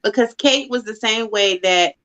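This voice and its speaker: A woman's voice: on the high side, moderate pace, fairly bright tone, highs cut off. Opinionated woman in casual chat. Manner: emphatic, opinionated, laughs often. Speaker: podcast co-host.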